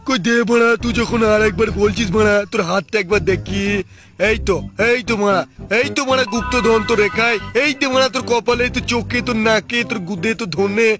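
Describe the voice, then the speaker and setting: A man's voice: extremely high pitch, a little fast, over music. A man voicing a foul-mouthed, irritable cartoon bully in a crude comic parody dub, ranting with a Bengali accent. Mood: coaxing. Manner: ranting, heavy swearing.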